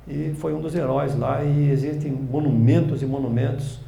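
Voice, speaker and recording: A man in his sixties from Brazil, a journalist guest telling family stories in a relaxed interview, halting and restarting often. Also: fairly high pitch, creaky. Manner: rambling, halting storytelling with many restarts. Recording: echoey room, faint background noise.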